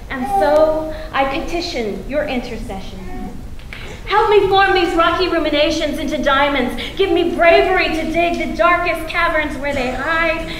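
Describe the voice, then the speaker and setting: Woman, high voice, slow expressive poetry reading, mock-solemn prayer style, echoey hall. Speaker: poet reading at a tribute event. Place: stage in a meeting hall with seated audience. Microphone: stand microphone. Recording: echoey hall with some background noise.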